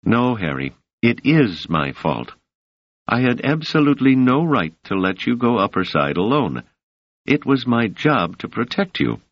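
A man reading an audiobook voices a worried, protective woman in a raised, softened voice, earnest and insistent. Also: mid-range voice, sing-song, a little slow, no echo, voice pushed up. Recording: dull, dry, lo-fi sound.

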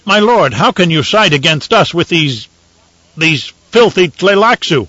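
A man's voice: on the high side, lively intonation, normal speed, a bit bright, no echo, highs cut off, voice pushed up. A man doing a desperate, furious ambassador. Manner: urgent, pleading, indignant. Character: desperate male ambassador, angry and pleading.

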